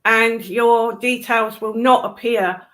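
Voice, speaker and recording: A woman talks in a casual discussion, explaining things point by point. Her voice sits a little high and her pace is unhurried and slow. She is emphatic, restating key phrases for stress. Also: fairly even intonation, fairly bright tone.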